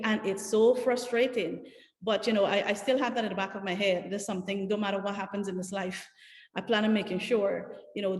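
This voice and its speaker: A woman's voice: medium pitch, darkish tone. A woman from Guyana with a Guyanese accent, telling stories earnestly and emphatically as a panelist on a video call. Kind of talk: panel discussion answer over video call. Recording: echoey room with long reverb.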